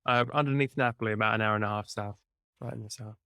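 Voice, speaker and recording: A man's voice: on the low side, fairly even intonation, fast, bright, forceful tone, clear, resonant tone, no room sound, studio quality. A man with an English accent, from near Cambridge, hosting a casual podcast interview in a friendly, teasing way over a video call. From England, near Cambridge. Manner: friendly, teasing, self-mocking. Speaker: podcast host.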